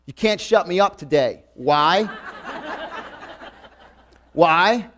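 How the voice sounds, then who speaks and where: A man's voice: high-pitched, expressive, very slow, a bit bright, a little hiss or hum. A man in his forties with a New York accent, giving an emphatic, repetitive motivational talk to a live audience. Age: 40s. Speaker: motivational speaker. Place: event room before a live audience.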